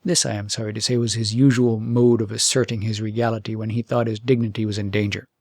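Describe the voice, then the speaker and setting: A man narrating a fairytale audiobook in an expressive storytelling style, with a slightly creaky voice. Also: on the low side, fast, no echo, studio quality.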